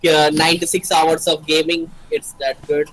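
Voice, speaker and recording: A man's voice: a little high, little pitch movement, normal speed, a bit bright, rough recording. A man chatting casually with friends on a livestream, in short informal remarks. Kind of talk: casual group chat on a livestream.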